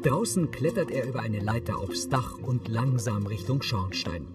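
A man's voice: mid-range voice, animated, moderate pace, over music. A man reading scripted audio description in a neutral, factual manner. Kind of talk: scripted narration.

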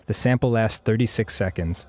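A man's voice: fairly low pitch, normal speed, darkish tone, no echo. A man in his thirties, first language Hebrew, chatting casually as a co-host over gameplay, rambling with many fillers. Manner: rambling, frequent fillers and laughs.

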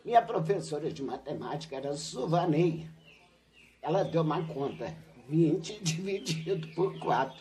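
An older woman, a retired teacher, recounting her school days in a relaxed interview on a home patio. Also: deep voice, a little slow. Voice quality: lively, animated storytelling with laughs. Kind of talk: reminiscing interview. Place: covered outdoor patio at a home.

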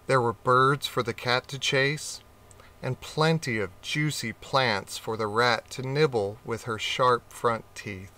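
Man, children's storybook reader, slow, gentle, expressive, bright voice. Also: medium pitch. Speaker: audiobook reader.

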